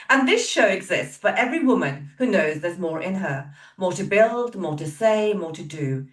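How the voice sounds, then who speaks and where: Upbeat woman hosting a podcast. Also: medium pitch. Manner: upbeat, emphatic, motivational. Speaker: podcast host. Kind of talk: scripted intro then casual interview chat. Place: home, in British weather. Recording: roomy echo, a bit far from the mic, faint music.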